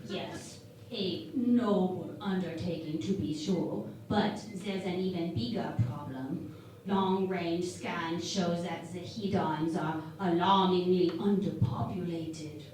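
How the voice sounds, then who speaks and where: A woman playing an earnest, analytical starship science officer in a sci-fi parody radio play, speaking deliberately and matter-of-factly. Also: on the low side, darkish tone. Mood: grave, explanatory. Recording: very echoey room, distant mic, faint noise.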